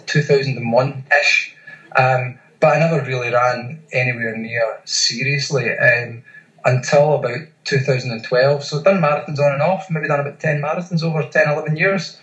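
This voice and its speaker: A Scottish man in his forties, a guest in a casual interview, talking with many pauses and fillers. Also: medium pitch, a bit bright, reverberant, muffled recording. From Scotland. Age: 40s. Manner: hesitant, many fillers and restarts. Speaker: guest, ultra runner.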